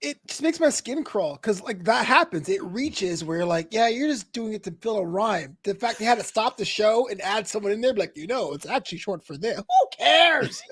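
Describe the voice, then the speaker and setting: A man's voice: sits high, very animated, moderate pace, hardly any pauses, a bit bright, husky, no echo. A man co-hosting in casual comic chat with an exasperated, wisecracking manner. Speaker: podcast co-host.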